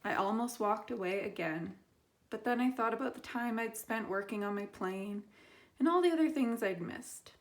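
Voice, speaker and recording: Lady teacher doing a kids story time read aloud, expressive, puts in dramatic pauses. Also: fairly high pitch. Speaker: teacher reading a children's book aloud.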